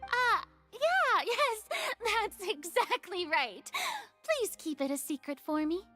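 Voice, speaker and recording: A woman's voice: very high-pitched, very animated, takes it slowly, bright, forceful tone, heavily acted. An actress playing a proud, theatrical young female god in a video game, pompous and defensive, haughty yet insecure underneath. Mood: flustered. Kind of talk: dramatic video game voice acting. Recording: faint background music.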